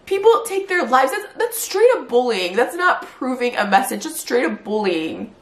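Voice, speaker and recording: A woman in her thirties, short on sleep and hurt, talking fast in a response vlog and filling her speech with "like". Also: high voice, very animated, roomy sound. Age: 30s. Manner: tired, hurt and fast-talking, with many "like" fillers. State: short on sleep, near tears later. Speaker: YouTuber.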